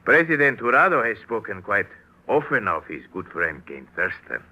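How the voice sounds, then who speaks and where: A suave, deep-voiced aristocrat. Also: male voice, sing-song, moderate pace, highs cut off. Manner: courtly, smooth, formal. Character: smooth suave gracious male aristocrat. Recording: old radio broadcast.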